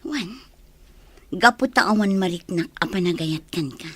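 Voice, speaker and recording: A woman's voice: lowish voice, lively intonation, measured pace, a bit bright, husky, heavily acted. An actress playing a firm young woman who is engaged, in an Ilocano radio drama. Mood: firm, rejecting.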